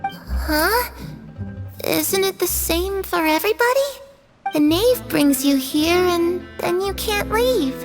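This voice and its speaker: A little ghost girl in a video game. She speaks slowly in a high, childlike voice, innocent but eerie and full of secrets. Soft music plays behind her. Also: lively intonation, full character voice. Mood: innocent, friendly. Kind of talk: scripted video game voice acting.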